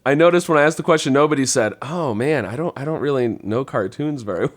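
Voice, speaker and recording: A man's voice: medium pitch, fast talker. A middle-aged man talking casually as a podcast host, putting questions to the group. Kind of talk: casual round-table chat.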